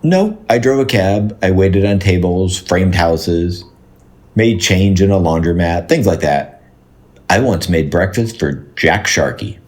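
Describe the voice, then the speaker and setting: Audio drama role: gentle, rambling plumber, low register, very lively intonation, hesitant and sincere. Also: male voice. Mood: mild, awkward. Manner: hesitant, halting, digressive. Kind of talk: naturalistic audio drama dialogue.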